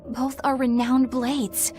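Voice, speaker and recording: A woman's voice: high-pitched, flat delivery, slow, darkish tone. A woman voicing a prim, devout young woman in a video game, formal and skeptical. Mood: questioning. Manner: formal, proper. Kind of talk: English video game voice acting. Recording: faint ambient noise behind.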